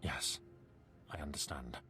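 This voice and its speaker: Deep-voiced, resigned noble father. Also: a little slow, over music. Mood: resigned, tender. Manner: gentle, solemn. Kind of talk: video game voice acting.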